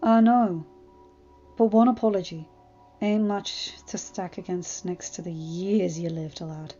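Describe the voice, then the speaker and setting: A woman acts out a gruff old blacksmith from a storybook. He is fatherly and kind, with folksy turns of phrase and a remorseful heart. The recording has music behind it and a slightly dull sound. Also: lowish voice, fairly even intonation, measured pace, warm tone, pitched below the performer's own voice. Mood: remorseful, soft. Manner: folksy dialect, addressing the youngster as lad.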